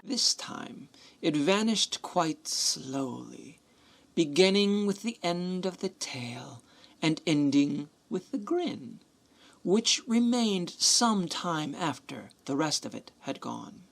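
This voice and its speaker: A woman's voice: sits low, big pitch swings, slow pace, creaky. A woman narrating a storybook radio play from a script.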